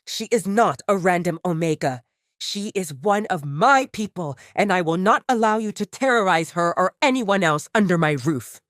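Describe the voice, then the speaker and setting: A woman's voice: fairly low pitch, expressive, moderate pace, no echo, studio quality, natural voice. A woman voicing a commanding male werewolf pack leader, stern and authoritative, in a romance audio drama. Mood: stern anger.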